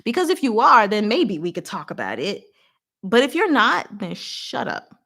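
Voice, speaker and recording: Candid, animated woman chatting casually on livestream. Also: fairly high pitch, normal speed, vocal fry. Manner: animated and candid, acts out imagined dialogue, laughs often. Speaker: livestream host. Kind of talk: casual rambling livestream chat, reading and answering viewer comments.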